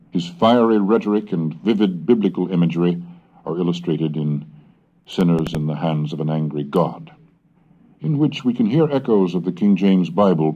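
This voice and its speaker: A man giving calm, scholarly scripted narration as a lecturer, on a dull old cassette recording. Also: breathy edge. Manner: calm, scholarly, low-key. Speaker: reader and lecturer on language history.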